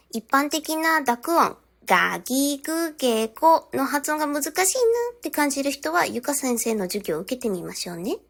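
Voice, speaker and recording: A woman language teacher giving a scripted lesson, politely addressing her viewers with clear step-by-step instruction. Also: high-pitched, fairly bright tone. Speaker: Japanese teacher.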